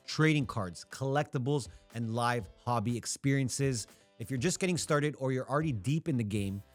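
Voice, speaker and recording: A man hosts a podcast in an easy, relaxed way, asking short casual questions. His pitch is typical for a man. Faint music plays behind him. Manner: relaxed, short prompts and acknowledgments. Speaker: podcast host.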